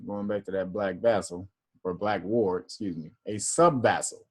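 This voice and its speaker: A man's voice: deep voice, normal speed. A man hosting a casual class, reading definitions aloud and explaining them in loose, slangy talk with profanity and laughter. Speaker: class teacher and host.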